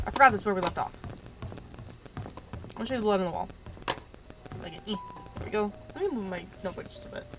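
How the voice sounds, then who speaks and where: A woman's voice: mid-range voice, expressive, fast talker, long pauses, music in the background, low-quality recording. A woman hosting a gaming video, stuffed up from allergies, chatting in a rambling way full of fillers and keeping her voice down so as not to wake anyone at home. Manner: talks quietly so as not to wake others. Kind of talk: casual let's-play commentary. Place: room at home at night. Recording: static from a faulty mic.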